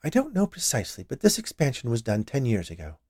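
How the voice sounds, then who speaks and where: A man's voice: medium pitch, huge pitch swings, fast, a little mellow, dry recording, clean studio sound, voice pushed up. A man voicing a plump, cheerful woman confectioner in an audiobook, chatty, gushing and nosy. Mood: chirpy, curious. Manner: chirpy, gushing, inquisitive. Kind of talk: audiobook character voice.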